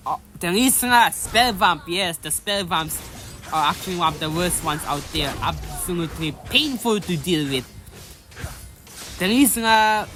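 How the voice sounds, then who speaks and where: A woman's voice: fairly low pitch, sharp tone. A young woman casually voicing over a mobile game tutorial, rambling with lots of fillers and restarts and slipping into an exasperated rant. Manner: exasperated ranting. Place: home voice-over recording. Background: faint background music.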